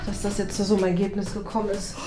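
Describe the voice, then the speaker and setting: A woman's voice: mid-range voice, little pitch movement, rapid-fire, music in the background, natural voice. A woman playing a flustered, indignant older headmistress in a comedy sketch, dramatic and stammering. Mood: despairing. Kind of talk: improvised comedy sketch acting. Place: indoor corridor and meeting room.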